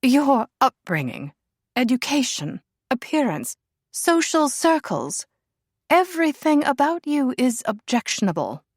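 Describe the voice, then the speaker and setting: A woman's voice: high-pitched, very animated, measured pace, dry recording, studio quality, pitched above the performer's own voice, heavily acted. A woman voicing a haughty young royal princess, cold and clipped in her snobbery. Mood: disdainful.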